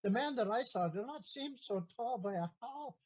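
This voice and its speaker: A woman's voice: on the high side, lively intonation, normal speed, a little mellow. A woman acting the part of a stubborn, half-drunk old male gardener in a comic period play. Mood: suspicious.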